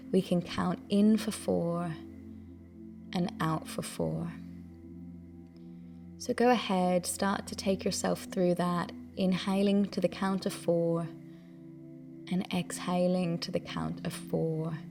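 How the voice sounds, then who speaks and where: A woman leading a guided breathing meditation, giving gentle, soothing instructions in a calm, unhurried way. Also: lowish voice, vocal fry.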